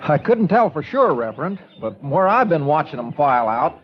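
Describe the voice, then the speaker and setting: A folksy small-town newspaper editor talks in an easygoing drawl. His voice sits a little high and moves a lot. The old radio recording sounds dull, with faint music behind it. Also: big pitch swings, normal speed. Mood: warm. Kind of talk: 1950s radio drama acting.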